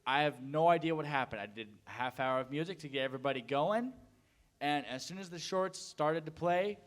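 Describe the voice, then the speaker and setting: A man's voice: mid-range voice, a bit bright. A man telling a casual anecdote to an audience at a convention panel. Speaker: convention panel participant who streams. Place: convention meeting room.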